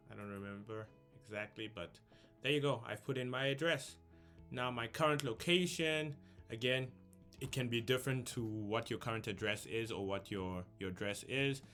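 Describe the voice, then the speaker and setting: Young man, low voice, casual walkthrough, frequent ums, soft music in the background. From South Africa. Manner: chatty, thinks aloud with frequent ums. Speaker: YouTuber walking through an application. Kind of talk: casual screen-share walkthrough.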